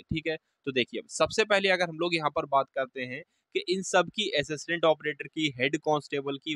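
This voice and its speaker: A man's voice: fairly high pitch, fairly bright tone, no room sound. A man giving an informal explanatory lesson like an exam coach, repeating points and often checking that listeners follow. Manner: repeats points, asks and answers own questions, checking in often. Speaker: exam-news presenter and coach.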